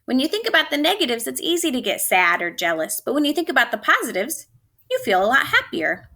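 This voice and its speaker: A woman teacher reading a storybook and giving a lesson to children, expressive and speaking straight to them. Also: high voice, fast talker. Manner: expressive, addressing children directly.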